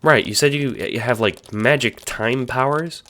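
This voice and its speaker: A man acting in an audio drama as a casual, wry young hero and chatty narrator, with an expressive delivery. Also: mid-range voice, unhurried. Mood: incredulous, wry.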